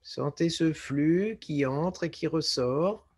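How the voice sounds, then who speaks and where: A man guiding a relaxation meditation as a yoga nidra teacher, speaking in a calm, measured way with short phrases and pauses. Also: a little high, breathy edge. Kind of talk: guided relaxation meditation instruction.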